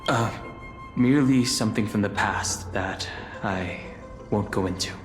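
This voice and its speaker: An actor playing a calm, analytical young male traveler in a game, speaking in a measured, restrained and formal way. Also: lowish voice, natural voice. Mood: reserved. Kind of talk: scripted game dialogue and introspective monologue. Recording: echoey long reverb, music underneath.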